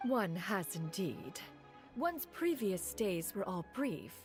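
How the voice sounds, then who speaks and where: A woman's voice: mid-range voice, very animated, slow pace. An actress playing a proud, formal immortal woman in a video game, with stately, archaic speech. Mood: formal, reflective. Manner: stately, refers to self as "one". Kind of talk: scripted video game dialogue. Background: game music.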